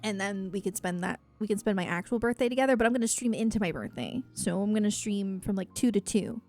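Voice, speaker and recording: A woman's voice: medium pitch, lively intonation, fast, darkish tone, soft background music. A woman chatting casually on a livestream, rambling and effusive, with a self-deprecating way of talking as she answers her viewers.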